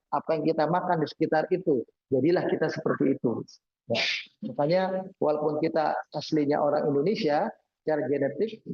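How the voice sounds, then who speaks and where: A man's voice: a little high, slightly rough, highs cut off. A man giving an Islamic sermon, explaining Quran verses and reciting the Arabic before translating it. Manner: explanatory, repeats points with "ya" and "nah". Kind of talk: religious study lecture.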